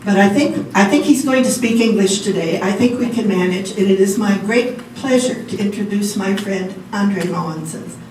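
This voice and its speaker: A woman's voice: mid-range voice, moderate pace, hardly any pauses, darkish tone. A woman, a program coordinator, giving a welcome and introducing a speaker to a large class. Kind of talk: formal spoken welcome and introduction. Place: large room, group class. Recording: very echoey, distant, noisy room.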